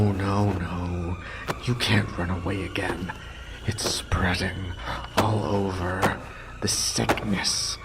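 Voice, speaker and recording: A man playing a deranged, possessive male lover in a horror audio roleplay, speaking in breathy, halting, intimate fragments. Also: heavy background noise, heavily acted. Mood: frantic, possessive. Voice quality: breathy, whispery, creaky low voice. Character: obsessive, deranged yandere male lover, tender and murderous.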